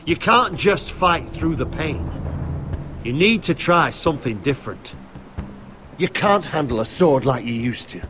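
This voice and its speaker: A man's voice: on the high side, lively intonation, unhurried, background noise. An actor playing a blunt veteran knight who commands and challenges his injured lord in a fantasy video game. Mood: firm, instructive. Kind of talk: video game dramatic acting.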